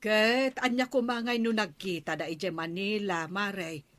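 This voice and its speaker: A woman's voice: medium pitch, moderate pace, a bit bright, no echo, lightly acted. A woman playing a skeptical, gossiping village woman with an Ilocano accent in a radio comedy drama.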